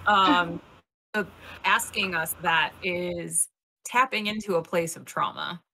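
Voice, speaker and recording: A woman speaking on a casual panel discussion, earnest and measured, pausing with "uh"s. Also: on the low side, gravelly, bright, forceful tone, slight background noise, poor audio.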